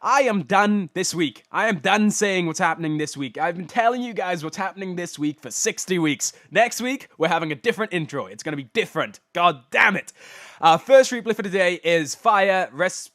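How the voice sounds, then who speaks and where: A man's voice: high voice, expressive, normal speed, fairly bright tone. A young man with a blocked nose from a cold, casually commentating game replays as the show's host, rambling and stumbling over names.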